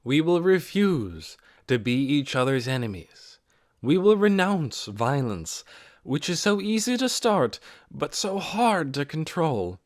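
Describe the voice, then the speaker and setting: A man voicing a steady, businesslike woman who leads her village, in measured, solemn tones for an audiobook. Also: on the high side, voice pushed up. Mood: resolute. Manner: measured, solemn public speaking. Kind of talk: audiobook character voice.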